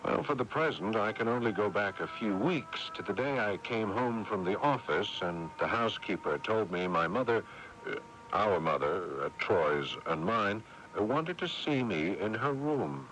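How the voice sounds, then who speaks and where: An American actor playing a weary, level-headed elder brother in a radio drama, hesitant and quietly resentful, on a 1980s AM broadcast. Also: male voice, fairly low pitch, expressive, moderate pace, slight background noise, low-quality recording. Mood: troubled musing. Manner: hesitant, musing, exasperated. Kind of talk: radio drama acting, narration and dialogue.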